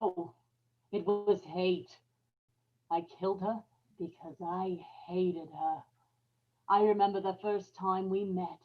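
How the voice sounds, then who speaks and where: A woman's voice: medium pitch, steady tone, slow, long pauses, phone-quality sound. A woman playing a tormented, bitter young wife confessing to murder in an old-time radio horror drama, in an anguished dramatic delivery. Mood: bitter, brooding.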